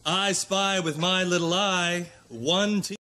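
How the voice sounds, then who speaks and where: Chipper, overzealous superhero, noisy soundtrack. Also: male voice, sits high, steady tone, slow pace. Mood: zealous. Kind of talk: comedic superhero parody acting.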